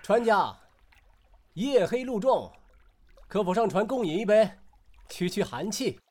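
A man's voice: high voice, big pitch swings, a little slow, darkish tone, exaggerated voice. A man playing a roguish, teasing night traveller in a Chinese period audio drama, witty and nonchalant. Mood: courteous. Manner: teasing, playful, theatrical. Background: music.

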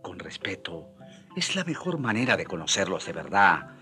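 Bright-voiced, earnest humble friar. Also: male voice, sits low, sing-song, normal speed, faint music behind, exaggerated voice. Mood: earnest, gentle. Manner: gentle, earnest, respectful. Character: humble, earnest Spanish friar, kindly and respectful. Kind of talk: radio drama acting.

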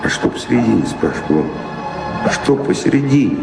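An actor playing a weary, wistful wanderer and philosopher in a radio drama, musing deliberately with pauses. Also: male voice, lowish voice, sing-song, vocal fry. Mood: musing, storytelling. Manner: musing, deliberate, pausing storyteller. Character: weary wandering man revisiting his old love, wistful philosopher. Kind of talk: theatrical radio drama acting. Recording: echoey hall reverb, music underneath.

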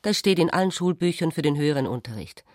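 An actress playing a sharp-witted, provocative, tired sister in a literary radio drama, speaking in an articulate, insistent way. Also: female voice, low-pitched, lively intonation, quick pace, no echo, clean studio sound. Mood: teasing, curious.

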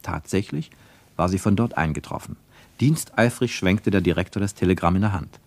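A man's voice: low voice, normal speed, creaky, darkish tone, no echo. A man narrating a literary radio play in a dramatic, emphatic manner.

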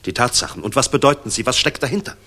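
A man's voice: mid-range voice, lively intonation, fast, sharp tone. A man acting a weary, hard-driven general in a 1960s German radio drama, terse, urgent and wry. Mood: urgent, pleading.

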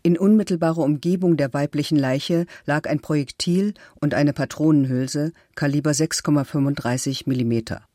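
A man's voice: fairly high pitch, moderate pace, few pauses, warm tone, no room sound, clean studio sound, natural voice. Actor as an exact, impersonal male report reader. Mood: sober, impersonal. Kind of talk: scripted documentary reading of a police report.

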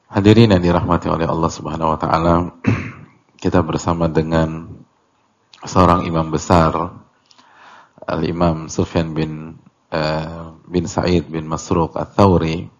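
Very deep, gravelly male religious teacher. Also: muffled recording. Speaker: Islamic teacher leading a religious study class. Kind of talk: religious lecture explaining a classical text.

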